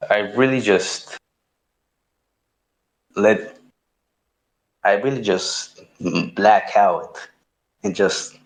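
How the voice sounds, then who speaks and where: Slow-spoken young Italian-born man. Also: lowish voice, low-quality recording. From Italy. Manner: short, unhurried answers with long pauses. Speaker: rapper, interview guest.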